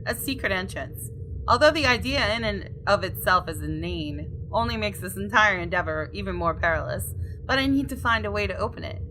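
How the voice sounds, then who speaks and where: Bright-voiced woman narrating a game expressively. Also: medium pitch, lively intonation, a little fast, a little hiss or hum, poor audio. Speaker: gaming YouTuber. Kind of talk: reading visual novel aloud with casual commentary.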